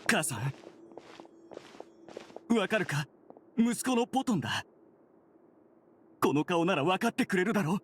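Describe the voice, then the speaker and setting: An actor playing a bitter, sneering researcher turned criminal, sardonic and argumentative, in an anime-style Japanese game. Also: male voice, mid-range voice, sing-song, a little slow, slight background noise. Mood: pleading.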